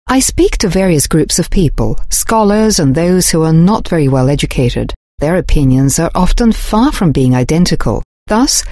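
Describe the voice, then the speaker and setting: Actress as an older journalist: low, lively intonation, measured and explanatory, dry studio read. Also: female voice, no echo. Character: older woman journalist, calm polyglot. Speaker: interviewee. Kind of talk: scripted textbook dialogue.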